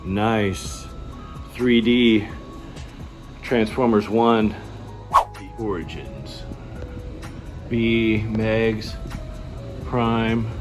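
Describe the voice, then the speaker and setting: A man hosting a movie and toy vlog, talking in a casual, excitable, slangy way. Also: fairly low pitch, drawn-out words, pauses often, music in the background. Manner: excitable, slangy, chuckles often. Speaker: host of a toy and movie review channel. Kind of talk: casual, enthusiastic vlog chat.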